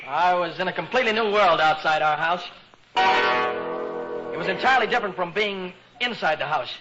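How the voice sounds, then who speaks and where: An actor playing an eager, self-important American teenage son who fancies himself a private eye, melodramatic and cocky, in 1940s radio comedy style. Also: male voice, high-pitched, lively intonation, moderate pace, over loud music. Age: young adult. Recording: muffled, noisy 1940s radio recording.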